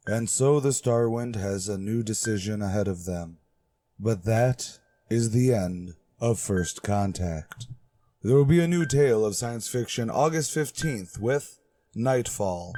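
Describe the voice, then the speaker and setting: A man's voice: lowish voice, a little slow, mellow, a little hiss or hum. A man hosting as announcer, reading scripted radio show narration with old-time broadcast phrasing. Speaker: announcer and host.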